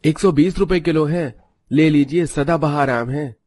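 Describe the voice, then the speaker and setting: A struggling male street vendor of fruit and vegetables as a man acts him out in a story. The voice is pushed up high, with the manner of a hawker. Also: unhurried, darkish tone, dry recording, studio quality. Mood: indignant.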